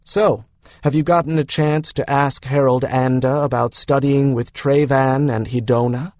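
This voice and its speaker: Young male fantasy hero, read by a male narrator: casual, frank, reassuring, medium pitch, very dry close recording. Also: steady tone, a little slow, darkish tone, no echo, close to an everyday voice. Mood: lazy, reassuring. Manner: casual, encouraging. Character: easygoing young man, frank, reassuring friend to griffins. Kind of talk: audiobook character voice.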